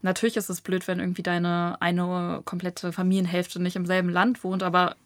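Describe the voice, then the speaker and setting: A young woman from Germany, a novelist guest, talking thoughtfully in an interview with searching pauses and fillers. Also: lowish voice, monotone, dry recording. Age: young adult. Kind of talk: reflective interview talk.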